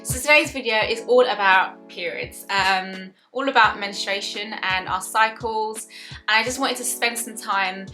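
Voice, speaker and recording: A woman's voice: medium pitch, measured pace, over music, rough recording. A woman of about thirty chatting earnestly to camera as a vlogger, with many restarts and fillers. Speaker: wellness vlogger. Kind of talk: casual talk to camera.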